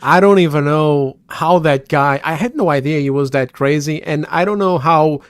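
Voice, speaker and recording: A man's voice: on the high side, moderate pace, clear, resonant tone, no room sound. Casual man, dry close sound. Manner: casual, swears. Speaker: podcast guest. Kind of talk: casual panel chat.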